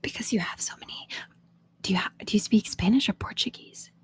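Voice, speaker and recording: A young woman from Oklahoma, an ASMR host, chatting in a soft whisper with viewers on a live stream, answering their questions and laughing often. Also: mid-range voice, fairly quick. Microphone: phone.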